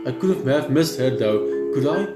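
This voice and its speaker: A young man hosting a gaming video, reading story text aloud haltingly and stumbling over words. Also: mid-range voice, animated. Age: young adult. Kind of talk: let's play, reading visual novel text aloud. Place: indoor room at home. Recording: roomy echo under game music.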